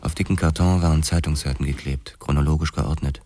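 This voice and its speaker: An actor playing a laconic boxer-policeman who tells his story in a measured, matter-of-fact noir radio drama narration. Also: very deep voice, steady tone, mellow, slight background noise. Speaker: first-person narrator.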